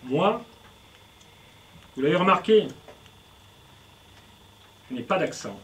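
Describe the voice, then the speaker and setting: A man's voice: a little high, sing-song, slow pace, fairly bright tone, slight background noise. A man performing punning, rhythmic spoken-word poetry on stage, recorded live. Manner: playful punning, declamatory and rhythmic. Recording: distant live recording with music.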